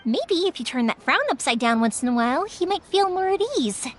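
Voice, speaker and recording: A cheerful young fireworks maker from a video game. Her voice is very high and lively, perky and eager to help, chatting expressively over clear background music. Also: a little fast. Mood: warm, reassuring. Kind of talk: scripted video game voice acting. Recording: music playing behind the voice.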